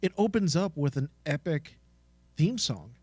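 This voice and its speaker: A man's voice: a little high, sing-song, measured pace, a little mellow, no echo. A man co-hosting a podcast, chatting casually in an enthusiastic, informal way. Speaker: podcast co-host. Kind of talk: casual chat.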